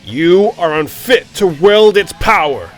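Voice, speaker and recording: A man's voice: high-pitched, expressive, slow pace, fairly bright tone, soft background music, full character voice, low-quality recording. A man voicing a proud, determined echidna guardian hero, earnest and declamatory, in a fan audio drama. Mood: solemn resolve.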